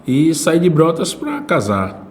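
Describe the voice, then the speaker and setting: A man's voice: fairly high pitch, huge pitch swings, slow pace. Bahian man hosting, faint background noise. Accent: Bahian Brazilian. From Salvador, Brazil. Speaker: podcast host. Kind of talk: casual conversational hosting.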